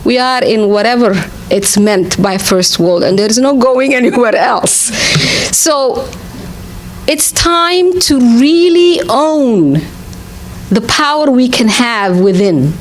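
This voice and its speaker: A woman's voice: on the high side, lively intonation, slow. A woman speaking at a conference, giving a reflective, partly improvised speech in a conversational way, often checking in with the listeners. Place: conference venue.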